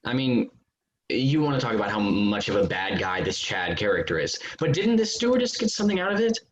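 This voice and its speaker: A man's voice: lowish voice, very animated, brisk. A man playing a smug, defensive amateur novelist in a staged play reading. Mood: smug, prodding. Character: smug, self-justifying male amateur novelist, defensive about his sleazy book. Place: remote virtual staged reading. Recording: dull, noisy online call audio.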